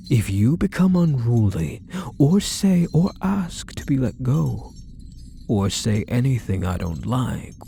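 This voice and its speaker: Casting: male actor for doting yet controlling yandere kidnapper, intimate ASMR roleplay, soft endearments, dark mellow tone, unhurried. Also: on the low side, creaky, slight background noise, heavily acted. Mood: calm menace. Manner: soft, coaxing, condescending pet-name endearments.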